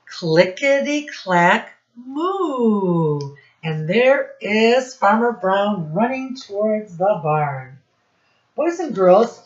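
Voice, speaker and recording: A woman teacher reading a storybook aloud to young children, encouraging and instructive, pausing to question them and stressing key words. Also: medium pitch, a little mellow, reverberant. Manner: didactic, encouraging, addresses children directly, emphatic on key words. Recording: dull lo-fi recording.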